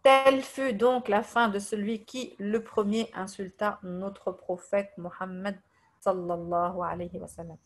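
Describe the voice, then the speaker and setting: A woman from Tunisia whose first language is Arabic, giving a religious lesson in French, hesitating often with fillers, reading translations and reciting verses quickly. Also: mid-range voice, fairly even intonation. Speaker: religious teacher. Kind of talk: religious lesson over voice messages.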